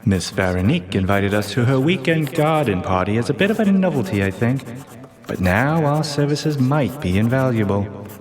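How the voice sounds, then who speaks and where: A man's voice: mid-range voice, sing-song, normal speed, a crowd in the background, natural voice. An actor playing an earnest, easily impressed male sidekick in a radio play. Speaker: narrator and assistant.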